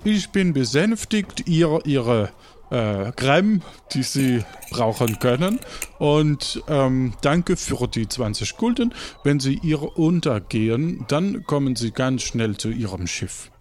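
A proud, haggling cook and trader , played by a man at a tabletop game. He is pompous and likes French interjections, in a mid-pitched voice over faint background noise. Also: sing-song, takes it slowly, a little mellow. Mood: appeased. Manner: pompous, drops in French words.

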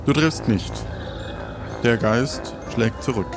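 A man with an average-pitched voice runs an improvised role-playing adventure. He narrates the scene and gives rulings as game master. Light music plays quietly behind him. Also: slow pace.

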